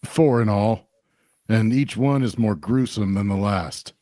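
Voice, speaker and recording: A man playing an obliging small-town barkeep in a fantasy audio drama, plain-spoken, polite and unhurried. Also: lowish voice, dark tone, dry recording, clean studio sound, close to an everyday voice. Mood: grave, confiding. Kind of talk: audio drama table-read dialogue.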